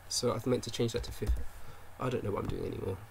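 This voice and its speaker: A man's voice: medium pitch, steady tone, racing, creaky, darkish tone, a little hiss or hum. A man developing a game on stream, thinking aloud as he works, muttering to himself and constantly correcting and second-guessing himself. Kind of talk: thinking aloud while working.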